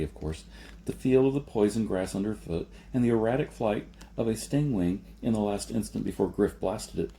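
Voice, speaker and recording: A man's voice: on the low side, mellow, a little hiss or hum. A man reading a science fiction novel aloud as scripted narration, now and then stumbling and correcting himself. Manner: reads with occasional stumbles and self-corrections. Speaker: audiobook reader.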